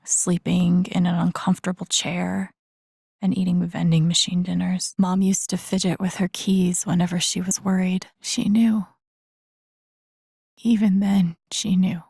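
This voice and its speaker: Game role: wounded young woman, introspective inner monologue, mellow and close-miked dry sound, creaky edges, understated delivery. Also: on the low side, level tone, unhurried, no echo, heavily acted. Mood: pensive, searching. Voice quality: soft, breathy, husky. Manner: subdued, understated, confiding. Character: pensive, wounded young woman recalling a hard childhood.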